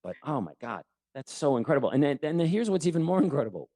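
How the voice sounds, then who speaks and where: A man's voice: on the high side, sing-song, fast talker, mellow, no echo, studio quality. A man hosting a casual interview podcast, talking in an enthusiastic, rambling, self-deprecating way. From United States. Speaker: podcast host and former teacher.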